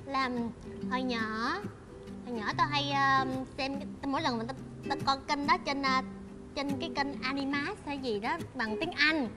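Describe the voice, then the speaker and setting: A young southern Vietnamese woman with a high voice chats casually and excitably. She tells stories expressively, in her twenties, with loud music playing underneath. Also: moderate pace. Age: 20s. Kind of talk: casual animated chat, reminiscing. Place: indoor talk show set styled as an old shop.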